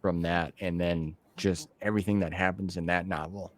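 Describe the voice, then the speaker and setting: Low-pitched man chatting casually. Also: fairly even intonation, moderate pace. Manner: informal, colloquial, repeats phrases for emphasis. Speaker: podcast host interviewing a guest. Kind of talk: casual fan conversation about novels.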